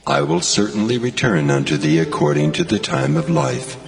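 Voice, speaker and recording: An actor playing a solemn, majestic God in a dramatized scripture reading, grand and declamatory. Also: male voice, mid-range voice, sing-song, normal speed, vocal fry. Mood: solemn promise. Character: God, solemn majestic male deity giving promises. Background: music.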